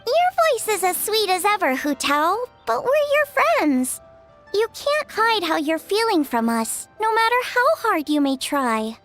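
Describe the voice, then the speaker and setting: A woman's voice: very high-pitched, big pitch swings, unhurried, fairly bright tone, soft background music, full character voice. A woman playing a sweet, caring young girl in an English video game dub, with a youthful, earnest, friendly delivery. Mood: warm, reassuring. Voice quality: youthful, girlish.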